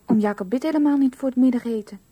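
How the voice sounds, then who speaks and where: A woman's voice: high-pitched, fast talker, dark tone, slightly rough. A female performer in a 1970s radio play voicing a stubborn, outspoken little girl with insistent, childlike delivery. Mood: defiant. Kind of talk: 1970s Dutch-language radio play acting.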